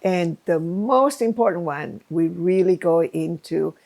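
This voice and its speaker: Woman guest, low gravelly voice, punchy emphatic way of talking. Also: measured pace, a little mellow. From Chile. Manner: emphatic, speaks in short bursts. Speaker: guest, program founder. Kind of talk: conversational interview.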